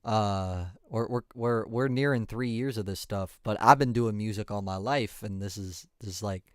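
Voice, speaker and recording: A man's voice: fairly low pitch, moderate pace, clean tone, no echo. A man in his twenties giving laid-back, chatty reaction commentary on music. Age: 20s.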